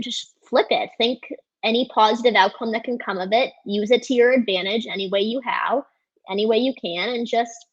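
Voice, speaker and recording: A woman's voice: medium pitch, slightly rough. A woman hosting a casual interview, encouraging her guest with affirming remarks. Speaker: podcast host. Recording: dull, lo-fi sound.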